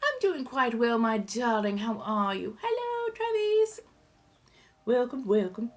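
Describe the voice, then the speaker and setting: A woman's voice: on the high side, big pitch swings, measured pace, voice pushed up. A woman voicing an ancient, gracious female vampire with an affected old colonial English accent, chatting effusively and calling people darling. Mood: courteous, welcoming. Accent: affected English, 1700s colonial. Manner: effusive, genteel, calls people darling and dear. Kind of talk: livestream chat in persona.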